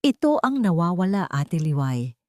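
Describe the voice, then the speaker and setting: A woman's voice: on the low side, big pitch swings, moderate pace, no echo. Sad, pleading street kid, done by female storyteller, earnest audio-drama read, very dry. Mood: tearful, urgent. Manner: earnest, plaintive. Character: sad, pleading street child mourning an older sister.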